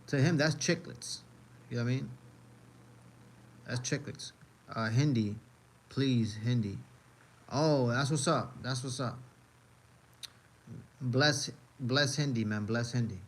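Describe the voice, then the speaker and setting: A man's voice: medium pitch, takes it slowly, long pauses. Rambling American man live-streaming. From United States. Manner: rambling, repeats phrases, many fillers. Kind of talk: casual live-stream chat reacting to videos.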